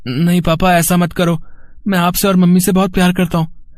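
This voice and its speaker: A man's voice: high-pitched, level tone, brisk, no echo, clean studio sound, natural voice. A man playing an earnest, emotional schoolboy in a cartoon moral story, speaking in a pleading manner. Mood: remorseful, pleading. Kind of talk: animated moral story dialogue.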